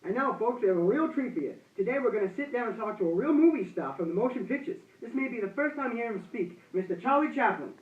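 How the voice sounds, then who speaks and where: A man's voice: very high voice, animated, fast talker, hardly any pauses, phone-quality sound. A young man playing a gushing, folksy host in an amateur 1920s radio broadcast. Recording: roomy, distant-miked amateur recording, thin sound.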